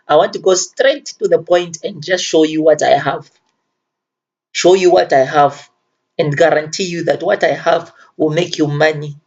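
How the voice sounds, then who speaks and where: Male, Zambian accent, slightly high voice, informal and earnest tutorial delivery with repeated phrases, dull low-fidelity sound. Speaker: self-taught forex trader presenting a tutorial. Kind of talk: informal video tutorial talk. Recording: dull, lo-fi home recording.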